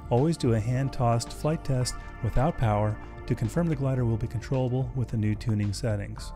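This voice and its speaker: A man reading scripted instructional narration in a measured, formal way. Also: medium pitch. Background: music.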